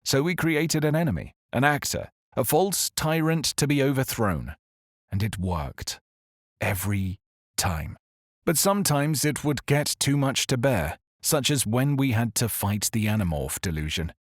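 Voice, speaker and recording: A man tells a story in the first person with a husky, low-pitched voice that rises and falls widely. His delivery is grave, measured and accusatory. The sound is dry and close. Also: very animated, no room sound. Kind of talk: scripted dramatic narration.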